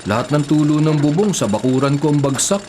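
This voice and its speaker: Actor as mild Filipino younger brother, noisy background. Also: male voice, fairly high pitch, animated, fairly quick, close to an everyday voice. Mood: mildly annoyed. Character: patient, put-upon younger brother, adult homeowner. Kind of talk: Filipino radio drama acting. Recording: noisy bed of construction and rain effects.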